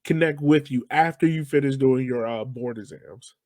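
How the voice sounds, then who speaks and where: A man's voice: medium pitch, normal speed, a little mellow, no room sound. A man with an American accent hosting a casual interview, chatting in a jokey, teasing way. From Virginia, United States. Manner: jokey, teasing, laughs readily. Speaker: podcast host, interviewer.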